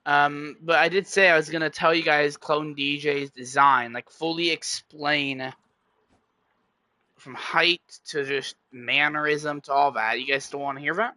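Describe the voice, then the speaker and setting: A man's voice: on the high side, steady tone, a little slow, sharp tone, no room sound. A man streaming and chatting casually with his viewers, rambling and often correcting himself mid-sentence. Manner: rambling, self-correcting, talks straight to chat. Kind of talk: casual livestream chat.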